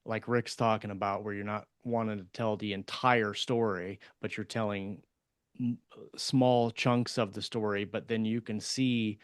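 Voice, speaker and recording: A man's voice: fairly low pitch, fairly even intonation, measured pace, smooth, no echo, studio quality. A man co-hosting a podcast, casually explaining writing craft and repeating words as he thinks. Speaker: podcast co-host.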